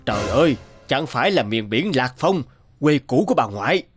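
Easygoing cartoon dad, middle-aged, normal pitch, bit of music under. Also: male voice, very animated, moderate pace, husky, no echo. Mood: casual, concerned. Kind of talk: animated folk-tale dubbing.

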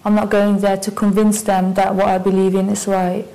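Young woman, gravelly mellow voice, flat delivery, studio interview. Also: mid-range voice, moderate pace, poor audio. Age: young adult. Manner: relaxed, chatty with many fillers. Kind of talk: casual recollection. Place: dark studio.

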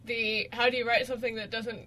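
A woman's voice: fairly high pitch, level tone, fairly quick, sharp tone, rough recording. A woman chatting casually in a group on a radio show. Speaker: radio show cast member. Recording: busy room with chatter behind.

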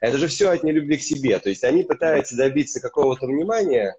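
A man's voice: medium pitch, lively intonation, fast, background noise. A man in his thirties, a gynecologist guest on a video call, speaking earnestly with some hesitations. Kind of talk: casual video call chat. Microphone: video call webcam. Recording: dull lo-fi webcam audio.

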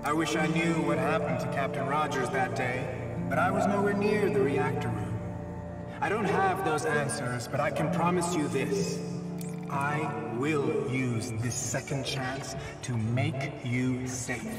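An actor playing a solemn, earnest scientist leader making a public speech in a video game. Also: male voice, medium pitch, a little slow, close to an everyday voice. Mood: solemn, resolute. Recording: distant, echoing hall speech under loud music.

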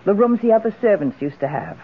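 Lively genteel widow. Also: female voice, mid-range voice, quick pace, slightly rough. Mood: gracious, cheerful. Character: genteel, lonely, well-to-do widow, mature. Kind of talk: old-time radio drama acting. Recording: muffled, band-limited old radio broadcast.

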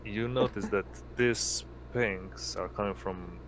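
Deep voiced guy running an rpg online, slow and halting, bit of noise behind him. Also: fairly even intonation, a bit bright. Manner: halting, searching for words. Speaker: game master. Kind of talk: improvised tabletop game narration.

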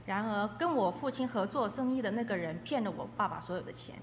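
A woman's voice: medium pitch, lively intonation, quick pace. A woman interpreting a testimony aloud, phrase by phrase. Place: echoey hall. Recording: echoey hall with faint noise.

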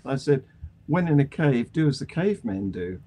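A man's voice: on the high side, very animated, moderate pace, warm tone. An older man with a British accent, talking in a wry, jokey way in a casual panel discussion over a video call. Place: room at home.

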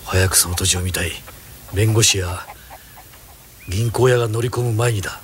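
A man's voice: on the low side, moderate pace, a little hiss or hum. A middle-aged man dubbing film characters, stern and commanding, often menacing. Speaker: voice actor dubbing film roles. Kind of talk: film dubbing, dramatic acting.

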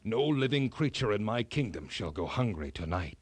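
A man's voice: on the low side, very animated, normal speed, slightly rough, no echo, pitched below the performer's own voice. Man reading as an earnest old storybook king. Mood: resolute. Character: good wise old king, earnest and grateful. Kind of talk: storybook character acting.

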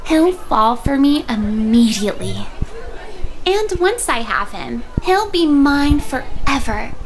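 A woman's voice: high voice, big pitch swings, slow, some chatter behind, full character voice. Lovestruck scheming chicken girl. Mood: dreamy, scheming. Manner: sweet, dreamy diary-reading voice with breathy whispers. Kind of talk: game cutscene voice acting.

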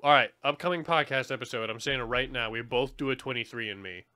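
A man co-hosting a casual podcast, telling stories in an animated way and swearing freely. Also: mid-range voice, fast. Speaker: podcast co-host.

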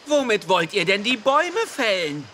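A man's voice: high-pitched, sing-song, normal speed. A man playing a sceptical, worrying islander in a children's audio drama.

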